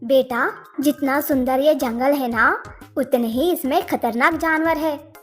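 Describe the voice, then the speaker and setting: High, slow protective mother camel acted by a woman. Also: fairly bright tone, soft background music. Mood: cautioning. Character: protective, cautious mother camel, loving but firm. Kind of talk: children's animated story character voice.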